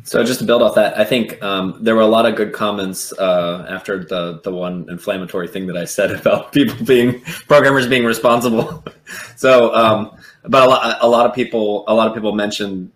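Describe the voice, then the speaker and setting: A man's voice: lowish voice, normal speed. A man on a panel video call, thinking aloud philosophically with many qualifications. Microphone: video call from a room. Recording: echoey room on video call.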